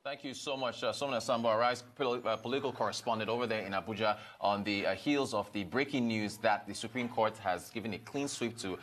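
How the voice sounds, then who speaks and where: A man in his thirties anchoring live news from a studio, questioning in a halting way with repeated words. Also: fairly low pitch, fairly bright tone, a little hiss or hum.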